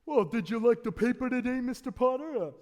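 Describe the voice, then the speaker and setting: A man's voice: extremely high pitch, expressive, fast talker, darkish tone, pitched above the performer's own voice. A man voicing a jolly, scatterbrained older small-town man in an old-style radio play, exclaiming, fumbling and repeating himself. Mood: gloating, jolly. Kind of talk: 1940s radio play acting. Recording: live stage taping in echoey hall.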